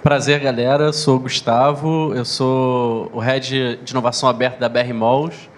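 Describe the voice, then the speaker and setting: A man with a Carioca accent introducing himself on a conference panel, relaxed and informal. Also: mid-range voice, moderate pace, slight background noise. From Rio de Janeiro, Brazil.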